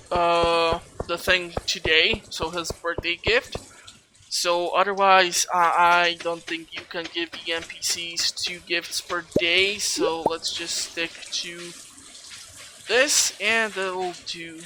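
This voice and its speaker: Through heavy noise and clicks comes a slow-talking gaming host with a mid-range voice. The host rambles along casually, thinking aloud while playing through a game. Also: mid-range voice. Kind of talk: casual game walkthrough commentary. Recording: clearly noisy recording with clicks.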